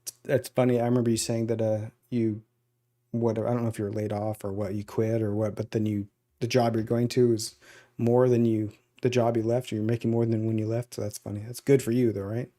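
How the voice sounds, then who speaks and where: A man's voice: fairly low pitch, little pitch movement, brisk, warm tone. A man chatting loosely on a podcast, rambling through stories with many "uh"s and "like"s. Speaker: podcast co-host. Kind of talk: casual chat.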